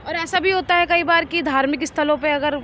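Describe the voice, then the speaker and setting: A woman's voice: high-pitched, steady tone, brisk, fairly bright tone. A woman working as a street reporter, asking passers-by questions in a conversational way. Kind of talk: vox pop interviewing. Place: outdoors on an urban street.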